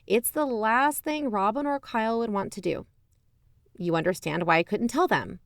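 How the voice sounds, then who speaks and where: An actress playing a suspicious, self-justifying investigator who hosts a podcast, narrating in an emphatic, confiding, conversational way. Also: female voice, a little high, normal speed, no room sound, studio quality, lightly acted. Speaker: podcast host.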